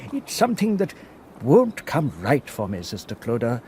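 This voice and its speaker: A gracious, troubled ruler speaks gently and hesitantly in a radio play. The pitch swings widely, and a faint hiss runs behind it. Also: male voice, huge pitch swings, unhurried. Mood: wistful. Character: older male Indian ruler, troubled, gracious. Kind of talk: radio drama acting.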